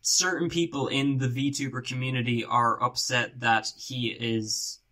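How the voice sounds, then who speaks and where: A man's voice: medium pitch, level tone, measured pace, sharp tone. A man hosting a casual podcast, talking loosely, swearing and laughing often. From Ireland. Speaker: podcast host.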